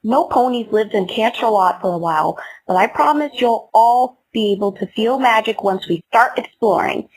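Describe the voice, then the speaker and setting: A woman's voice: fairly high pitch, normal speed, hardly any pauses, close to an everyday voice, poor audio. A woman voicing a hopeful young female earth pony in a fan comic dub, earnest and starry-eyed.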